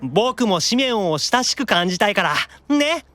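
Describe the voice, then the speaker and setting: A man acting out a sulky, excitable young otaku demon with a petulant, teasing manner in an improvised audio drama. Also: high-pitched, normal speed, soft background music, heavily acted. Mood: prodding, indignant.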